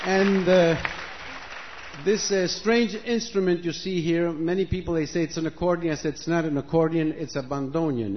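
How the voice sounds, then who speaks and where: A man from Argentina introducing his music to a concert audience from an outdoor stage, switching languages and joking in a loose, conversational way. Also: sits high. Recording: muffled live recording with audience.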